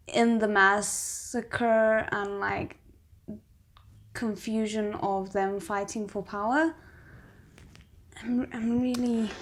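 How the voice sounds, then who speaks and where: A woman's voice: mid-range voice, slight background noise. A woman hosting a reaction video, commenting casually and thinking aloud in a hesitant way.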